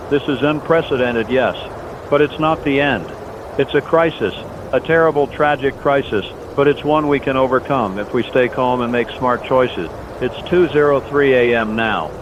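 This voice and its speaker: A man playing an earnest, steadfast overnight radio host in a scripted disaster drama, speaking in solemn, reassuring, deliberate phrases. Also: natural voice. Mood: resolute. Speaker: late-night radio host in a fictional broadcast. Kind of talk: scripted radio drama monologue, emergency broadcast. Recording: dull lo-fi audio, loud outdoor rumble.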